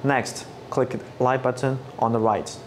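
Flat-toned man explaining software steps. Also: medium pitch, measured pace, soft background music. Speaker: product trainer. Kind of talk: scripted tutorial narration. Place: studio set with dark backdrop. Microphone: lapel mic.

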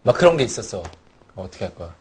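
A man's voice: on the low side, sing-song, fast, breathy edge. A young man in his twenties teasing friends with quiz questions in casual banter on a studio set. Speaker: idol group member. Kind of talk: playful variety show banter. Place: bright studio living-room set.

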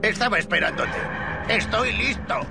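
A nervous civilian with a high, creaky voice. He is hesitant and reluctant, a jittery game character with music behind him. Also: expressive, normal speed. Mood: relieved.

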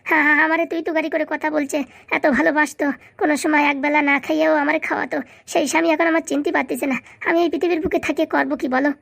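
Shrill, scheming beggar wife. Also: female voice, little pitch movement, gravelly, a bit bright, dry recording. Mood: wailing, mournful. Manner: melodramatic wailing, drawn-out lamenting, gloating asides. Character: scheming fake beggar wife, wailing over sick husband. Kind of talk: comedy cartoon voice acting.

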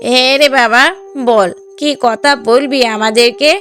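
A woman's voice: a little high, steady tone, a little slow, fairly bright tone, faint music behind. A woman playing a middle-aged village mother in a cartoon drama, coaxing in a fretful, affectionate way. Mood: agreeable, inquisitive.

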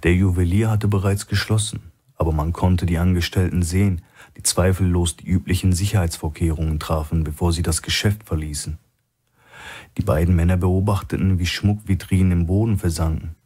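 A man with a husky voice reading scripted thriller narration as an audiobook narrator. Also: low voice, fairly even intonation, a little fast, dark tone.

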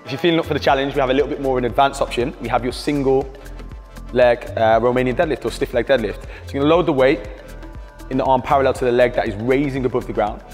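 A man's voice: mid-range voice, fast. British man coaching a workout. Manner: steady coaching cues. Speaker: fitness coach. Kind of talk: instructional workout voice-over. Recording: reverberant hall with background music.

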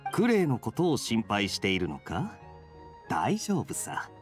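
A suave young knight from an anime game. He is smooth and wryly playful, with very lively pitch swings over background music. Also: unhurried. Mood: teasing, relaxed. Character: suave, teasing young male knight, relaxed. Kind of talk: Japanese anime game voice acting.

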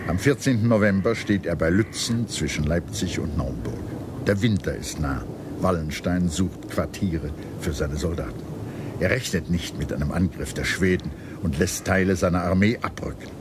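A man narrating a scripted history documentary in a measured, serious manner. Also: low-pitched, background noise.

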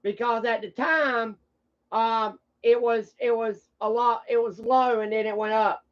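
A blunt, combative streamer rambles casually to viewers. The pitch is mid-range and the language is coarse. The audio is dull and lo-fi. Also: steady tone, a little slow. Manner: blunt, combative, foul-mouthed.

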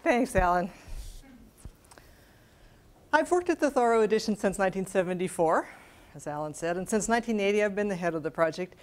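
A woman in her sixties with an American accent speaks at a medium pitch. She gives a prepared scholarly lecture from a lectern. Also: animated, fairly quick, pauses often. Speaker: scholarly editor giving a lecture. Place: library event room, at a lectern. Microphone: lapel microphone.

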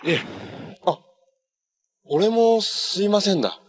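A man's voice: high-pitched, expressive, slow pace, shouting. An actor playing an easygoing, kind young male hero in a TV superhero drama, speaking in a friendly, earnest, casual way. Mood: apologetic, friendly. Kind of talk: naturalistic Japanese TV drama acting. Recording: TV drama audio with noticeable background noise.

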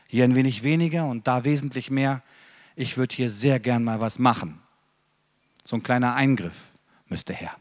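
Medium-pitched man declaiming poetry. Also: normal speed, pauses often. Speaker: slam poet. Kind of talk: declaimed spoken-word poetry. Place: live stage in an indoor event hall. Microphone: handheld mic.

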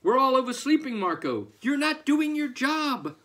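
Grumpy storybook farmer, played by a man: high-pitched, exasperated, emphatic, slightly husky. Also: very animated, moderate pace, full character voice. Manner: loud, complaining, emphatic.

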